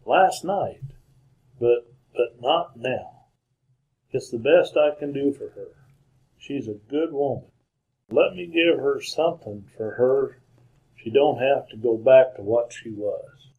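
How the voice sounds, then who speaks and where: An actor playing a dying, wounded gunslinger in a radio Western, pleading in halting, folksy American Western speech. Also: male voice, mid-range voice, a little mellow, lo-fi sound. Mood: desperate, earnest. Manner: halting, folksy, repeats words. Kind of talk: old-time radio Western acting.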